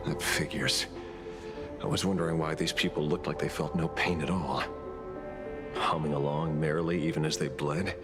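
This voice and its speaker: An actor playing a jaded, menacing street fighter with sardonic wit in an anime game, speaking in a cool, deliberate way. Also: male voice, very deep voice, steady tone. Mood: grave. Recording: loud music underneath.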